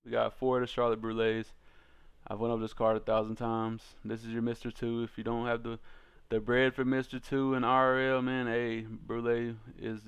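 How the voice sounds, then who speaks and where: Clear-voiced man in casual game commentary. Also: mid-range voice, little pitch movement, normal speed, highs cut off. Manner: informal, slangy, addressing viewers as crew. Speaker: card game content creator and host.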